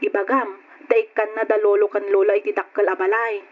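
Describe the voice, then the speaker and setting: An actress playing a pert, scheming younger sister with an Ilocano accent, coaxing and cheeky in old radio drama style. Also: female voice, mid-range voice, little pitch movement, brisk, close to an everyday voice. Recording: dull lo-fi radio drama recording.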